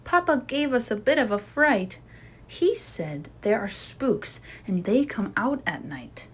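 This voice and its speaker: Woman playing a high-pitched earnest bear cub. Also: expressive, slow, slightly rough, exaggerated voice. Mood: frightened.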